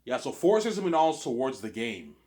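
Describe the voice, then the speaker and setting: A man's voice: medium pitch, very animated, a little fast, darkish tone. A man with a slight Canadian accent talking in a rambling casual monologue, often restarting and tagging on "obviously". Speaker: vlogger.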